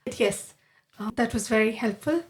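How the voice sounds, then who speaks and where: Here is a young woman who grew up in the Middle East, hosting an interview with a slightly raised pitch. She listens closely and keeps the guest going with encouraging little affirmations. Her questions come out in a warm, attentive conversational way. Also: normal speed. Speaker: podcast host.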